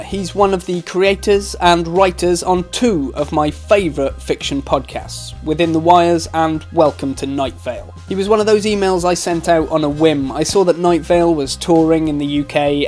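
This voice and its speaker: A man's voice: high voice, a little mellow, echoey room. A man hosting a podcast, talking in a casual, chatty, informal and enthusiastic way. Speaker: podcast host and interviewer. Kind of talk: casual conversational interview, chatty.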